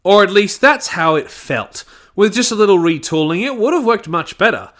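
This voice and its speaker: Animated, higher-pitched man reviewing. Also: moderate pace. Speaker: audio drama reviewer. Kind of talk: scripted review narration.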